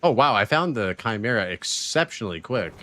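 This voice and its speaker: A man in his thirties streaming a video game live, chatting casually with his viewers and reacting excitedly with slang and swearing. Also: lowish voice, fairly quick. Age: 30s. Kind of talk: casual live-stream chat while gaming.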